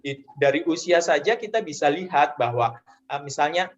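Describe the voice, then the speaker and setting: A man speaking as a guest voice actor in an interview, explaining at length with many pauses and fillers, on dull lo-fi audio. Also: on the high side, animated. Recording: dull lo-fi online audio, faint noise.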